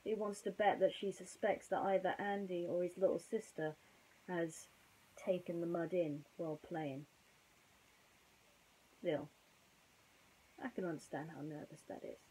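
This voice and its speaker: A young woman telling a children's story informally, with a theatrical, expressive delivery. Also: on the low side, measured pace, long pauses, slight background noise, rough recording. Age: young adult. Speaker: bedtime storyteller. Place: small bedroom, indoors.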